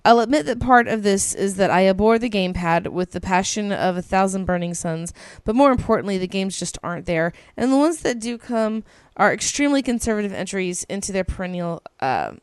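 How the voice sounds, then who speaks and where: A woman's voice: medium pitch, lively intonation, brisk, hardly any pauses, clean tone, dry recording. A woman co-hosting a podcast, reading listener emails aloud in a casual, gushing way that is peppered with swearing and ums. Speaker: podcast co-host.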